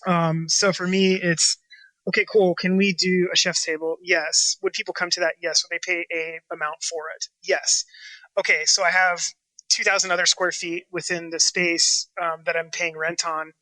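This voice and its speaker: A chef and restaurant owner from Washington State, talking casually in an interview and rambling with lots of fillers and restarts. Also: male voice, high-pitched, flat delivery, moderate pace, bright, forceful tone, dry recording, low-quality recording. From Washington State, USA.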